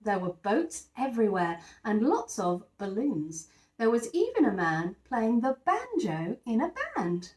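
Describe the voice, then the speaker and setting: Female storyteller for young children, expressive and lively in pitch, emphatic on key words, echoey room sound. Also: unhurried. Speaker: teacher reading a children's picture book aloud. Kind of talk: expressive scripted story narration.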